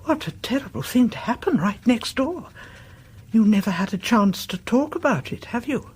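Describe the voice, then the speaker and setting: Nosy elderly British widow, slightly high animated pitch, husky voice, genteel and probing, radio drama. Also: female voice, moderate pace. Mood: coaxing, sympathetic. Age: 70s. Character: inquisitive, chatty seventy-two-year-old widowed woman neighbour. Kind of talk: radio play acting.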